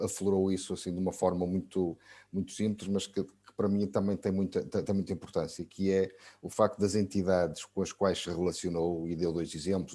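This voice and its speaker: A man with a European Portuguese accent moderates an online talk, rambling through his points with repeated words. He speaks quickly in a low, mellow voice. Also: slightly rough. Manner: discursive, repeats words while thinking. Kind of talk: webinar moderation.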